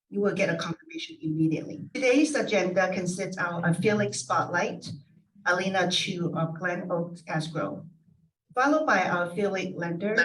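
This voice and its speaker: A woman emceeing an association meeting, reading announcements to the audience and sometimes stumbling over names. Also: on the low side. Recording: voice heard from a distance.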